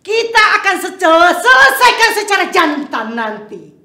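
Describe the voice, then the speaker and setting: Woman as assertive political schemer, sharp forceful voice, animated pitch, roomy recording. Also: unhurried, heavily acted. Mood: defiant. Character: combative, self-important political schemer. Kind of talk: theatrical dramatic reading. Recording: echoey room.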